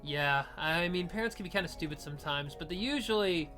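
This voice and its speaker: A woman voicing a reluctant, uneasy young man just out of high school in a visual novel, hesitant and downbeat. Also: fairly quick, sharp tone, faint music behind, lightly acted. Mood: subdued.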